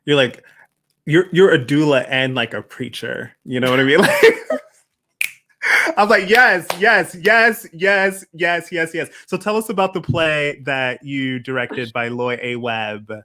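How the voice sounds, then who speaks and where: A man's voice: on the high side, big pitch swings, unhurried, pushed and bright. A man hosting a casual, conversational interview, effusive and encouraging, often repeating words for emphasis. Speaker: host, interviewer.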